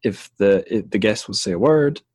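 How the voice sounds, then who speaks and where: A man's voice: on the low side, moderate pace, slightly rough, no room sound, clean studio sound. A young man hosting a book podcast, chatting casually with many hesitations and ums. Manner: relaxed, hesitant with frequent ums and restarts. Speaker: podcast host. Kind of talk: casual interview chat.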